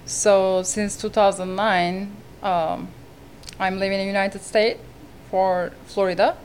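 A Turkish-accented woman in her thirties speaks at a middle pitch in earnest, halting English. She is a guest on a podcast interview and talks about her work as a real estate agent. A faint hiss runs under the recording. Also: little pitch movement, a little mellow. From Turkey. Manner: earnest, halting non-native phrasing.

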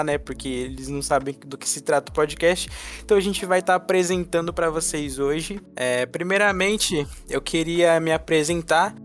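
A man in his twenties hosting a casual podcast chat, rambling and joking. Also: a little high. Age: 20s. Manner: rambling, joking, laughs easily. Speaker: podcast host.

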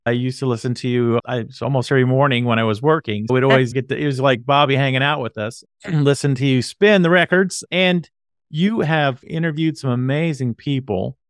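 A man hosts a casual interview in a mid-pitched, clear voice. His phrasing is informal and a little hesitant as he works toward his questions. The sound is dry, without room echo. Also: brisk. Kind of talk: casual chat.

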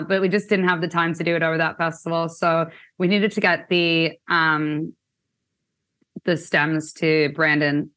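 Casting: young woman from Canada, musician guest, lower voice, rambling casual chat. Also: bright, forceful tone. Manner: chatty, many fillers like "like" and "um".

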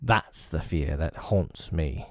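A man's voice: rumbling low, a little mellow, dry recording, voice pushed down, heavily acted. Guy doing a storybook pirate captain, menacing n brooding, drags his words out dramatically, dry close sound. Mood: haunted. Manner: slow, drawn-out, dramatic delivery.

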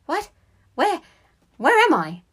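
A woman voicing an innocent, excitable young schoolboy in a squeaky, wailing voice for a story reading. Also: a little slow, full character voice. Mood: startled, fearful. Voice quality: high-pitched, squeaky, wailing.

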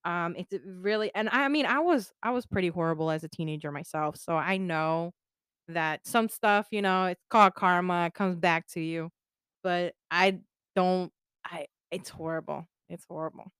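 Smooth-voiced woman podcast co-host. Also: on the low side, normal speed, a bit bright, no room sound, studio quality. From Puerto Rico. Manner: laughs often, bilingual code-switching. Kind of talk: casual chat.

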